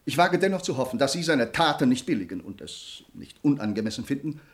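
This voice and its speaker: A man's voice: mid-range voice, very animated, fast talker. Earnest nobleman with sweeping delivery. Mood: courteous, pained. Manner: formal, eloquent, earnest. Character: honor-bound young nobleman, grateful, earnest, passionately restrained. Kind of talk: German radio play acting of classic drama.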